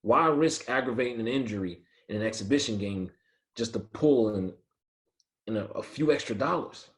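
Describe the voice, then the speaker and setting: A man playing a proud, dignified ballplayer in a dramatic table read, measured and earnest. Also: mid-range voice, natural voice. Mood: firm, defiant. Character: proud, firm, dignified male ballplayer standing his ground.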